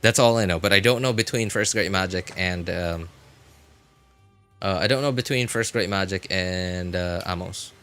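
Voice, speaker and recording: A man chatting casually as he comments on gameplay on a live stream, rambling and often correcting himself in a halting way. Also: sits low, a bit bright, smooth, soft background music. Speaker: game livestreamer.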